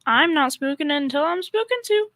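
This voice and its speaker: A woman's voice: high-pitched, lively intonation, normal speed, pushed and bright, dry recording, studio quality. A young female performer playing a dim-witted, sweet, naive secretary in old radio comedy style. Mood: innocent, spooked. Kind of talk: 1940s radio comedy acting.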